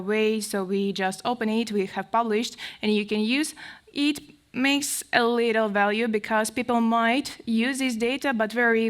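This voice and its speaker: A woman researcher speaks on a panel with a Latvian accent. Her answer is long and explanatory, with wordy, circling phrasing and a hesitant delivery. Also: mid-range voice.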